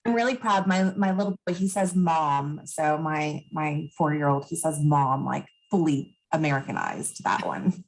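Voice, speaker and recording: Low, gravelly Texan woman. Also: a little slow, a little hiss or hum, rough recording. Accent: Texan, softened by years in Australia. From Texas. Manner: friendly, folksy, laughs easily. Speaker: business coach, podcast guest. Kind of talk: casual interview chat. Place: family farm.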